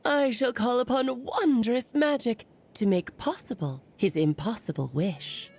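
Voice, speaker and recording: A woman's voice: on the high side, lively intonation, a little slow, darkish tone, slightly rough, heavily acted. A woman voicing a graceful, benevolent witch in a video game, speaking formally as if casting a blessing. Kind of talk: video game character acting.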